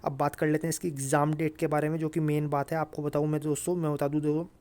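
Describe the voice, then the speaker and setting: A man's voice: on the high side, level tone, fast, a little mellow. A man with a North Indian accent explaining updates informally to viewers, repetitive and insistent, mixing in English words. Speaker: exam-update YouTuber.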